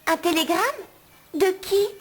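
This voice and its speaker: Very high, gentle cartoon girl. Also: fairly even intonation, measured pace, in a large hall. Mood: cheerful. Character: sweet, sensible young girl, a cartoon heroine. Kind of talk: French cartoon dubbing.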